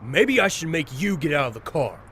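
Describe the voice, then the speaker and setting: A man's voice: a little high, sing-song, fairly quick, fairly bright tone. A man playing a hot-headed young survivor in an audio drama, snarky and sarcastic. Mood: snide, threatening. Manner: snarky, emphatic. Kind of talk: amateur audio drama acting. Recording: faint background noise.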